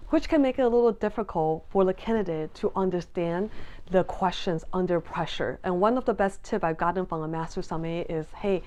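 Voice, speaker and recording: A woman's voice: lowish voice, darkish tone. Taiwanese-born woman explaining sommelier exams. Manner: friendly, earnest, with frequent ums and restarts. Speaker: sommelier vlogger. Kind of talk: casual explanatory talk to camera.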